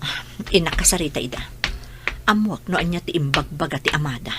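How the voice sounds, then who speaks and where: A woman's voice: low-pitched, expressive, brisk, very noisy. A Filipino woman playing an anguished, stubborn mother pleading with her children in a radio drama. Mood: hurt, brooding.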